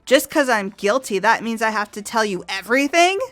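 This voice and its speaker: A woman's voice: a little high, lively intonation, fairly quick, a bit bright. A woman voicing a flustered young female suspect who stammers and gets defensive under questioning. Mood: nervous. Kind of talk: fan voice acting of a game.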